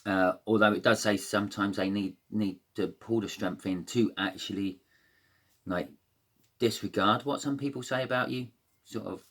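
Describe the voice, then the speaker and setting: A man with a croaky voice giving a casual, rambling tarot reading, full of fillers and restarts. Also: fairly low pitch, steady tone, moderate pace, a bit bright. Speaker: tarot reader.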